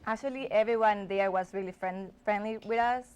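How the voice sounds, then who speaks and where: A woman's voice: mid-range voice, fairly quick, darkish tone, clear, resonant tone. A young woman from Ecuador, a native Spanish speaker, chatting as a studio guest and filling her talk with "like". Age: young adult. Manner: chatty, many "like" fillers. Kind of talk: casual studio interview answers.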